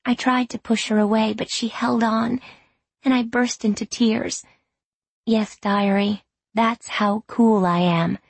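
An earnest teenage girl, voiced by a woman narrator, confiding and self-mocking as if writing in a diary. The voice is a little husky and unhurried, recorded dry in audiobook style. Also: a little high, fairly even intonation, no room sound, heavily acted. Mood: wry, earnest. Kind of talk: audiobook reading of diary entries.

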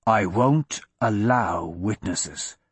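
A man voicing a cold, vengeful male elf assassin in a storybook, hissing quiet threats slowly. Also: on the low side, animated, husky, no room sound, pitched below the performer's own voice. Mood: hissed threat. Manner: hissed, slow, quiet.

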